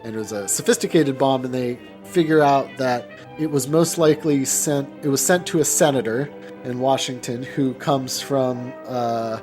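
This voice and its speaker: A male podcast host with a middle-pitched voice talks casually through a film. His delivery is halting and colloquial, with swearing dropped in freely. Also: music in the background. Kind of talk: casual film discussion and recap.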